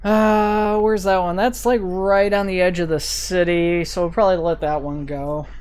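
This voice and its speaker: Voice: medium pitch, fairly even intonation, normal speed, darkish tone, clean tone. A video game streamer giving casual, rambling commentary while thinking aloud. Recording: vehicle and siren noise behind voice. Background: game sirens and vehicle sounds.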